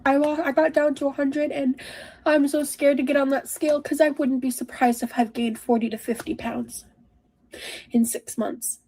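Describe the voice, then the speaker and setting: A woman streamer talking personally to camera in a halting, emotional, self-reproaching way. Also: high-pitched, fairly even intonation, a little mellow, low-quality recording. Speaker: streamer, in a clip being reacted to. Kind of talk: personal confessional talk to camera.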